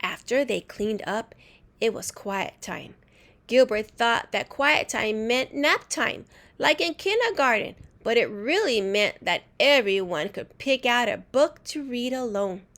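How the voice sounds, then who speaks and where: A woman reading a children's storybook aloud in an expressive, deliberate teacher's way. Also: fairly high pitch. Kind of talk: animated storybook read-aloud.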